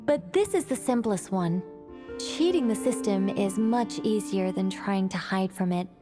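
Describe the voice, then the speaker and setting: An actress playing a calm, methodical woman scholar in a video game, speaking in a composed, precise, explanatory manner. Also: medium pitch, unhurried, over music, natural voice. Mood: explaining. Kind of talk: video game cutscene voice acting.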